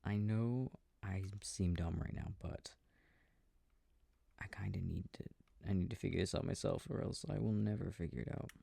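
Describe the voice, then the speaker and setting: A man playing an affectionate, easily confused boyfriend in a soft sleep-aid roleplay, muttering casually and hesitantly to himself over a video game, close to the microphone. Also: low-pitched, fairly even intonation, normal speed, gravelly, dry recording, exaggerated voice. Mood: puzzled, absorbed. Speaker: audio roleplay performer. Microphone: close microphone, dry. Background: controller clicking sounds.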